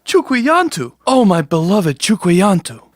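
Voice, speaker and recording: A man's voice: high voice, sing-song, unhurried, dry recording, studio quality, exaggerated voice. Man acting lovesick young shepherd, widely swooping voice. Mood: tender, earnest. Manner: plaintive, melodramatic. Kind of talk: audio drama acting.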